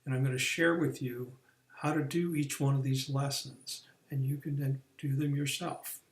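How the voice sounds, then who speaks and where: A man's voice: medium pitch, little pitch movement, normal speed, reverberant. A man explaining a career course in a plain, step-by-step way, with some restarts and fillers. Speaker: career coach. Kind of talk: recorded online training lesson.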